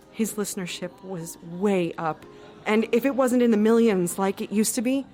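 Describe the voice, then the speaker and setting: A woman's voice: lowish voice, a little mellow, natural voice. An actress playing a shrewd, sardonic woman who narrates an audio drama in a wry, confiding manner. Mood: wry, scheming.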